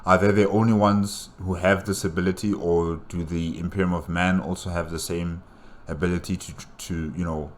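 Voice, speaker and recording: A man with a very deep voice gives casual reaction commentary. He thinks aloud, hesitating and repeating words as he works out each point. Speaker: reaction video host.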